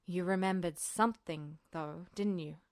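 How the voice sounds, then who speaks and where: A woman voicing an older military man, a smug colonel who talks in a measured, earnest, insistent way, in an audiobook story. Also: lowish voice, dry recording, studio quality, voice pushed down. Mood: urgent. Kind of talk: fan fiction audiobook dialogue.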